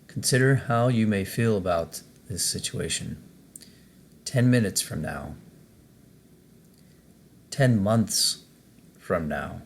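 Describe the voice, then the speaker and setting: Man, guided meditation host, very slow delivery, creaky low-end fry, measured pauses, faint noise. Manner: measured, pausing between short phrases.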